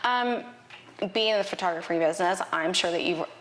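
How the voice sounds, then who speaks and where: A woman's voice: fairly low pitch, brisk, fairly bright tone, roomy sound, a little hiss or hum. A woman who works as a realtor, hosting a community interview and asking questions in a friendly, encouraging way. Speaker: realtor, host. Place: studio.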